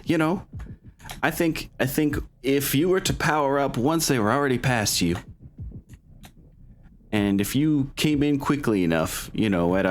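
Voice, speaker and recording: An earnest military lieutenant, played by a man at a tabletop game, with a fairly low voice. He gives advice haltingly and encouragingly, in a supportive, conversational way. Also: a little hiss or hum, natural voice. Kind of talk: tabletop roleplay dialogue.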